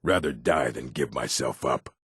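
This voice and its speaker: An actor playing a weary orc outlaw in a video game, brooding and muttering to himself in a gravelly voice. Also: male voice, very deep voice, moderate pace, no echo, clean studio sound, exaggerated voice. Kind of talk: video game character acting.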